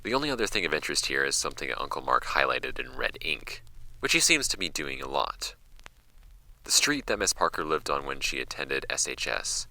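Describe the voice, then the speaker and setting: A man playing a skeptical archivist in an audio drama, reading case files aloud in a creaky voice. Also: sing-song, brisk, sharp tone, full character voice, low-quality recording. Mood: dry, wry. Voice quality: creaky, very deep. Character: dry, skeptical male archivist recording case notes. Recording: music bed under the voice.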